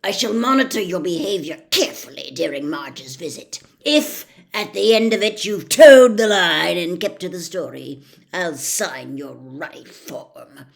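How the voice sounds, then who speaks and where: A woman voicing a middle-aged bullying uncle in a storybook, speaking forcefully and pompously with stern bluster. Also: on the low side, lively intonation, measured pace, vocal fry. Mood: grudging, stern.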